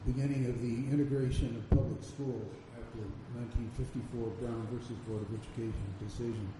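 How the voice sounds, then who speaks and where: A man's voice: medium pitch, level tone. Older man reminiscing from afar. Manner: measured storytelling. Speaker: veteran magazine reporter on a panel. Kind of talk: panel discussion recollection. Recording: distant mic in echoey room with noise.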